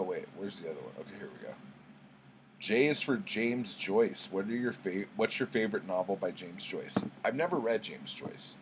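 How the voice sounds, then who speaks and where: A middle-aged man with a very low voice talks casually to the camera. He takes his time, rambling along in a laid-back, slangy way peppered with swearing. There is faint noise behind him in the home recording. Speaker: book vlogger. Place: small book-lined room at home.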